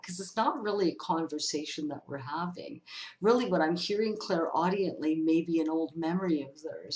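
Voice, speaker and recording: A woman hosting a casual question-and-answer vlog as a medium, speaking directly to her viewers in a chatty, expressive way. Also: lowish voice, rough recording. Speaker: vlog host, psychic medium.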